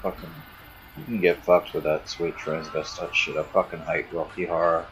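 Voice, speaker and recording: A man's voice: low voice, little pitch movement, moderate pace, a little hiss or hum, poor audio. A man hosting a live stream, chatting casually and bluntly, grumbling and swearing freely. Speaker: stream host. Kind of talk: casual chat.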